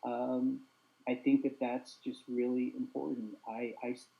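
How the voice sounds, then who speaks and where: A man's voice: mid-range voice, flat delivery, unhurried, creaky, mellow, poor audio. A young man hosting a casual group chat who stutters openly with repeated sounds and talks in an earnest, open way. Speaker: host.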